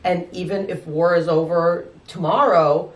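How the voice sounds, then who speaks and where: A woman doctor from Ukraine, explaining calmly and giving advice in an interview. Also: on the low side, measured pace, echoey room, far from the mic, dull audio. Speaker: doctor and medical guest.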